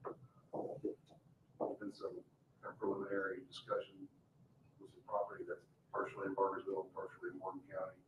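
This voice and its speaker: Low-voiced man giving an informal report. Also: completely flat delivery, brisk, long pauses, narrow, tinny audio. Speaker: town development director giving report. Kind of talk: informal spoken report at public meeting. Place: indoor public meeting room. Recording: echoey room, distant mic, faint noise.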